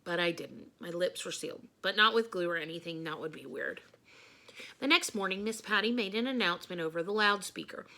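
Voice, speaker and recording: A woman reading a children's storybook aloud in an expressive, emphatic way, like a teacher addressing young listeners. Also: fairly low pitch, fairly quick.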